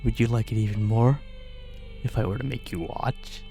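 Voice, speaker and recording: A man playing a smug, sadistic sorcerer in an ASMR fantasy roleplay, mock-polite and menacing, with breathy drops into whispers. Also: on the low side, dark tone, soft background music. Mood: threatening. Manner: theatrical, mock-polite, menacing, drawn-out phrasing. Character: smug sadistic male sorcerer, self-styled mage king.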